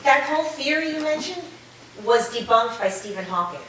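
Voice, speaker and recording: A woman's voice: high-pitched, lively intonation, normal speed, fairly bright tone. A woman in a staged play reading, playing a skeptical, exasperated student who argues dismissively. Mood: dismissive, argumentative. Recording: distant echoey room, clear background noise.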